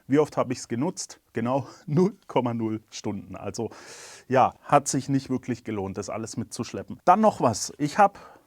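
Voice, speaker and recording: A man's voice: mid-range voice, animated, moderate pace, no echo. A man with a cold, candidly talking through his camera gear in a casual review. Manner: candid, chatty, plain-spoken. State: has a cold.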